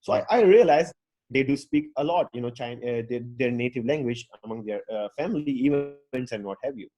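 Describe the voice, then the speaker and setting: A man's voice: medium pitch, fairly quick, lo-fi sound. Chatty man, English as a later language. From Pakistan. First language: not English; English is his fourth language. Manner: rambling, many fillers and restarts, outgoing. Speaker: guest speaker, UX designer. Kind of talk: casual talk to an online audience.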